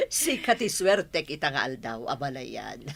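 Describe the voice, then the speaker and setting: A woman with an Ilocano accent playing a shop-owning mother in a television drama, expressive and measured. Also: low-pitched, gravelly, slightly rough, exaggerated voice. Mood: cheerful, chatty. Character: firm, exasperated mother who owns a furniture shop.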